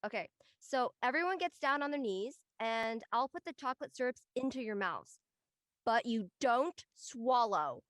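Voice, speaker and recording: Woman playing earnest young college friend in an online drama; slightly high, conversational voice, close and dry. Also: lively intonation, moderate pace, fairly bright tone, no room sound. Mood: playful, bossy.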